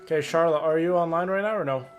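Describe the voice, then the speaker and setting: A man's voice: a little high, quick pace, highs cut off, soft background music. A man from Canada chatting casually as a streamer, joking and rambling over gameplay.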